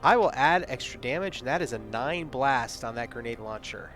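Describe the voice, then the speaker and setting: A man's voice: mid-range voice, animated, moderate pace, fairly bright tone, soft background music. A man playing a tabletop game, chatty and thinking aloud as he rolls dice. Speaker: player. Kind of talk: casual game talk.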